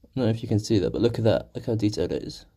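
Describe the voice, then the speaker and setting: Husky young man with a sore throat. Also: lowish voice, big pitch swings, rapid-fire, warm tone. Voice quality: gravelly, husky. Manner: rambling, self-deprecating, talking to viewers. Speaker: streamer. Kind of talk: casual live-stream chat while painting.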